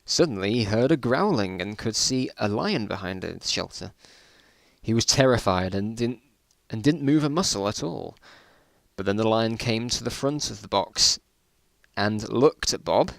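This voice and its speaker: A man's voice: fairly low pitch, animated, moderate pace, gravelly, dry recording. A British man reading stories aloud in an informal dramatic style, breaking off with amused, incredulous asides. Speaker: reader of his own old school stories.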